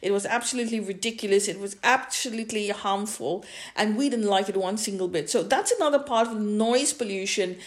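A woman's voice: mid-range voice, brisk. Emphatic woman podcaster. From India. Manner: emphatic, repeating phrases for stress. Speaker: podcast host. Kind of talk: opinion monologue, informal podcast.

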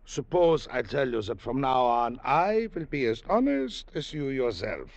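An actor playing a shady boat captain, guarded and gruff, in a 1940s radio drama. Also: male voice, mid-range voice, sing-song, measured pace, no echo. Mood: puzzled, wheedling. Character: Maru, wary shady boat captain and smuggler.

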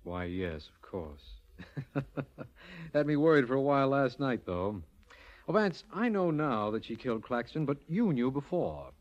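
Earnest radio district attorney, dull recording. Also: male voice, mid-range voice, sing-song, a little slow. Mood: easygoing, curious. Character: earnest male district attorney, the detective's straight man. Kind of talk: 1940s radio acting.

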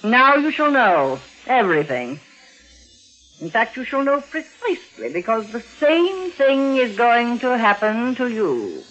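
Composed, dangerous aunt, muffled old radio. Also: female voice, fairly high pitch, very animated, unhurried, fairly bright tone. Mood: cold menace. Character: outwardly composed, secretly murderous aunt, guarded and obsessive. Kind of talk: 1940s radio mystery acting. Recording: muffled vintage radio recording.

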